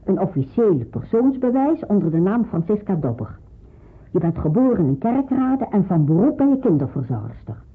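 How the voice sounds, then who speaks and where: An actress in a Dutch radio drama playing a caring, devout middle-aged pastor's wife, practical and reassuring, with a husky voice and slight hesitations. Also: female voice, medium pitch, big pitch swings, normal speed. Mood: briskly explaining. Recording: muffled, phone-like radio play recording.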